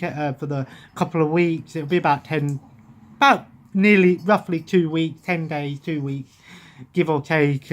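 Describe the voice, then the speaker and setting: A young man with an English accent, chatting loosely to his webcam from a sofa at home, wandering off track and restarting his sentences. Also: on the high side. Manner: rambling, absent-minded, many restarts. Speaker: gaming vlogger. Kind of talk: casual chat to camera. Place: living room at home, sofa. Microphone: webcam at close range.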